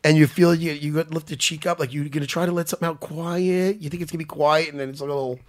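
A man with a loud voice, pitched a little high for a man, chats casually about fights as a co-host. He gets animated and stumbles through restarts. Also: quick pace. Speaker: podcast co-host and fight coach. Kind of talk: casual chat.

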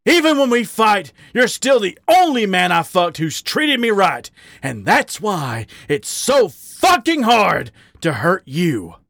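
A raw, bitter male badger pours out his heartache, his voice climbing high and swinging wide between pleading and ragged outbursts, as a male reader puts him on. The tone carries a gravelly grit. It sounds dry and close, with no room around it. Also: big pitch swings, takes it slowly, fairly bright tone, no echo, full character voice. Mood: anguished.

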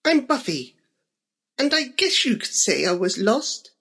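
A man's voice: very high-pitched, sing-song, measured pace, vocal fry, sharp tone, breathy edge, pitched above the performer's own voice, heavily acted. A man voicing an eager, lost young male bunny in a children's story.

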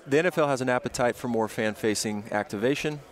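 A man's voice: medium pitch, fast talker. A man from Indianapolis promoting his city's sports events in an outdoor interview, speaking with upbeat emphasis. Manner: upbeat, emphatic, lists names and places. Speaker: tourism and events official.

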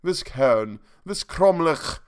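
A man's voice: a little high, expressive, slow, pitched above the performer's own voice. A man voicing a haughty old vampire count who declaims a florid eulogy. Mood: pompous.

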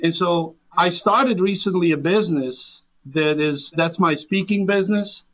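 A man in his forties with a Serbian accent, his voice sitting a little higher than most men's. He answers interview questions earnestly, often restarting his sentences and padding them with fillers. English is his second language. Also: unhurried, fairly bright tone. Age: 40s. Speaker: guest. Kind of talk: interview conversation.

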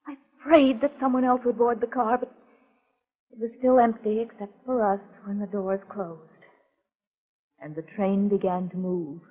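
A woman's voice: medium pitch, steady tone, normal speed, close to an everyday voice. Actress as anxious woman, tinny old radio sound. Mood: fearful. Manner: earnest, hesitant, dramatic storytelling. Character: frightened but composed young woman recounting attempts on her life. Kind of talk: 1940s radio mystery drama acting. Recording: muffled, tinny 1940s radio recording.

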